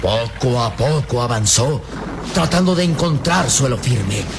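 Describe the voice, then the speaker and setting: A man with a Latin American Spanish accent narrating an old-fashioned radio serial dramatically, solemn and suspenseful, with deliberate phrasing and pauses. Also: mid-range voice, animated. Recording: old radio drama with storm noise behind.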